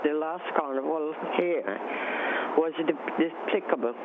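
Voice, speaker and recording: A man from Grenada calling in to a radio show, earnest and halting as he searches for words. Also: fairly high pitch, fairly even intonation. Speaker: caller. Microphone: phone line. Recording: tinny phone line with hiss.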